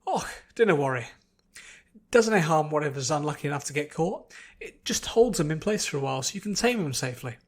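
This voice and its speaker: A man's voice: on the high side, lively intonation, a little fast. A man voicing a jovial male dwarf who teaches hunting with a hearty, folksy manner. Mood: instructive.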